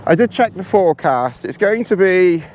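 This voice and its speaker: A hoarse, congested man chatting casually to camera while hiking outdoors in the hills, rambling and poking fun at himself. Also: sits high, expressive, normal speed, darkish tone, slight background noise. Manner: rambling, self-deprecating, chatty. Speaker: vlogger.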